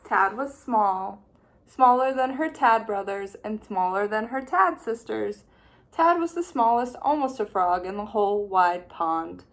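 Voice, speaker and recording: A woman teacher reading a children's picture book aloud with expressive, playful storytelling. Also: medium pitch, measured pace.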